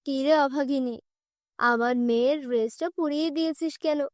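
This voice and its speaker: A woman's voice: sits high, moderate pace, a little mellow, no echo, clean studio sound, close to an everyday voice. A woman voicing a cruel middle-aged stepmother in a cartoon, scolding with contempt and threat. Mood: angry.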